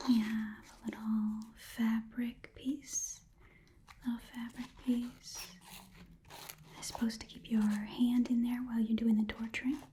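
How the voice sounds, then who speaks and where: A woman acts out a shifty, chatty goblin shopkeeper in a whispered ASMR roleplay. The voice is breathy and very mellow, rambling and repeating little phrases as it haggles. It is close on the microphone, with clear hiss behind it. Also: on the high side, little pitch movement, a little slow, natural voice. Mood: intrigued.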